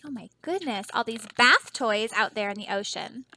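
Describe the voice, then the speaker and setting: A woman teacher reading a picture book aloud to children, animated and dramatic, with explanatory asides and questions to the listeners. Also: on the high side, normal speed.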